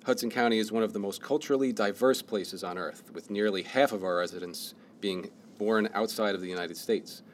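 A man's voice: lowish voice, fairly quick, few pauses, slight background noise. Man hosting a radio interview. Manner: reads a scripted intro, then casual questions. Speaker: host, interviewer.

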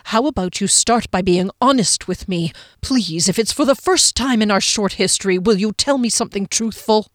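A woman voicing a stern, cold young male healer in an audiobook, speaking in a clipped, forceful, pressing way. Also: medium pitch, moderate pace, hardly any pauses, dry recording, studio quality, lightly acted. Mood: reproachful. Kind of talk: audiobook character voice.

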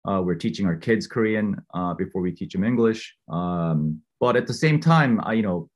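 Husky man rambling with fillers. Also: low-pitched, animated, normal speed. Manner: rambling, many fillers and restarts. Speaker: watch collector guest. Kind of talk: casual conversational interview. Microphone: remote call with earbuds.

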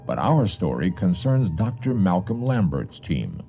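A man narrates a radio drama as its host. His very deep voice is dark and mellow, moving expressively through the scripted lines. Music plays faintly behind him. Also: sing-song, measured pace, creaky.

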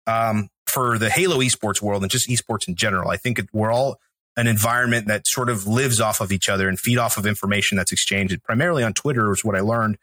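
Bright-voiced Texan man, chatty co-host. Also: fairly low pitch, quick pace, poor audio. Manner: chatty, full of restarts and fillers. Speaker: podcast co-host, attorney. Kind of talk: podcast interview.

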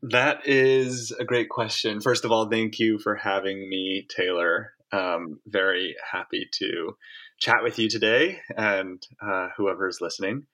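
A man's voice: on the low side, steady tone, unhurried, sharp tone. A man from Hong Kong who was raised there, a farmer being interviewed, talking casually in long, rambling answers full of fillers and restarts.

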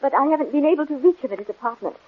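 A woman's voice: high voice, expressive, racing. An actress playing a frightened, secretive woman pleading for help in a 1940s radio drama, hesitant and urgent. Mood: anxious. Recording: muffled, scratchy 1940s radio recording.

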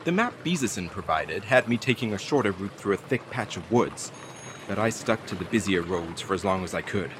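A man's voice: deep voice, lively intonation, brisk, noisy background. An actor playing a hapless, anxious delivery carrier who tells his creepy tale with wry, theatrical drama in an audio play. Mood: uneasy, suspenseful. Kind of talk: dramatic first-person narration in an audio play.

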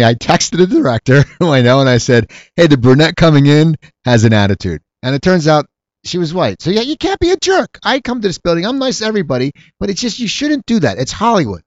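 A man's voice: a little high, fairly quick, no room sound, lo-fi sound. A man hosting a casual talk interview, joking and rambling. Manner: jokey, rambling, animated. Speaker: talk show host.

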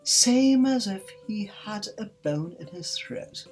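A woman voicing a brusque, impatient winged beast in a storybook reading, speaking loud and blunt. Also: mid-range voice, animated, slow, faint music behind, voice pushed up. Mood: gruff. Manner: shouting, blunt.

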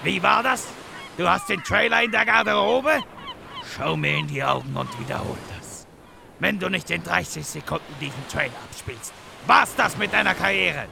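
Man playing a gravelly, theatrical, exasperated narrator. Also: high voice, animated, normal speed, noisy background, heavily acted. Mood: indignant, threatening. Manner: theatrical, exasperated, swinging from muttering to shouting. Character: pompous, irritable narrator bossing his assistant around. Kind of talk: comic audio drama acting.

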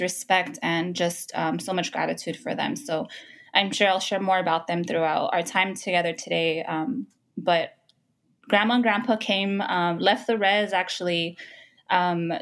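An American woman speaks as an interview guest, with noticeable vocal fry and a slightly low pitch. She shares personal stories casually, in a reflective and appreciative manner, pausing hesitantly as she goes. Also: a bit bright. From Los Angeles, United States. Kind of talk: personal storytelling in casual talk.

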